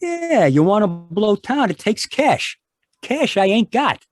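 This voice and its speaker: A man's voice: sits high, big pitch swings, slow, no room sound, soft background music, full character voice. Man playing slangy, hot-tempered American radio-play crook. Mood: edgy, gruff. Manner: slangy, gruff tough-guy talk. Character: hot-tempered small-time crook, a blackmailer and bully. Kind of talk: old-time radio crime drama acting. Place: performed from home on a video call.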